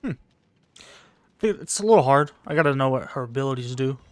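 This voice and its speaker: A man's voice: medium pitch, expressive, brisk. A man giving casual, slangy let's play commentary, muttering his reactions to himself as he goes. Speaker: gamer commentating his own playthrough.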